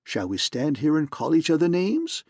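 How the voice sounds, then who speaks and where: A man voicing a jovial, complacent fat gentleman with a throaty purr. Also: a little high, big pitch swings, moderate pace, slightly rough, no room sound, clean studio sound, voice pushed up, exaggerated voice. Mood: genial. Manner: throaty purring, genial, formal.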